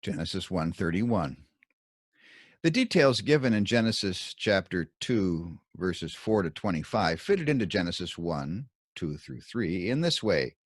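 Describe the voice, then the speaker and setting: A man reading his own scripted Bible commentary as narration, in measured, deliberate short phrases. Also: sits low, very animated, dry recording, studio quality.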